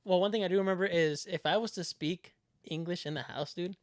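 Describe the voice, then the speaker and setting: A man's voice: sits high, fairly quick, no room sound, studio quality. A young man born in Mexico, co-hosting a podcast in loose, rambling casual chat, laughing often and swearing freely. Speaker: podcast co-host.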